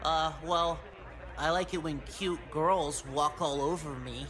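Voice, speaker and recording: A man's voice: on the high side, fairly even intonation, slow pace, a crowd in the background. A man voicing an awkward, whiny teenage boy outcast who is easily flustered, in a video game. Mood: awkward, sheepish.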